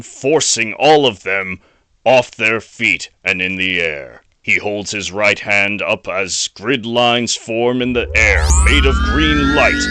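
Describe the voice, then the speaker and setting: A man narrating a scripted action audio drama as a storyteller. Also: lowish voice. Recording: noisy home recording.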